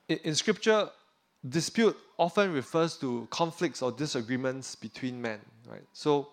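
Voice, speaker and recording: A man from Singapore preaches, his voice sitting at a middle pitch. He talks earnestly and keeps checking in with his listeners. On heavy points he sinks lower and softer. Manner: drops pitch and volume on weighty points. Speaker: pastor. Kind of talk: sermon.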